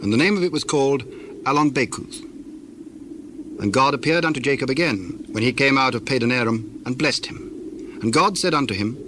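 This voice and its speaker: Formal male scripture narrator, mid-pitched. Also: background noise. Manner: formal, measured storytelling.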